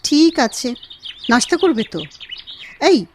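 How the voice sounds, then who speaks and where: A woman's voice: high voice, lively intonation, takes it slowly, darkish tone, slight background noise. A woman acting a sensitive, insecure young wife in a radio play, speaking expressively with doting, chiding and plaintive turns. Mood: fond, plaintive. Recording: music under the dialogue. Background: background music.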